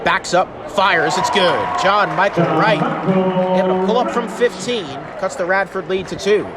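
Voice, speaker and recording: A man doing live radio play-by-play of basketball, with excitable, drawn-out calls on big shots. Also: sits high. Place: indoor college basketball arena. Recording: loud arena noise and crowd behind.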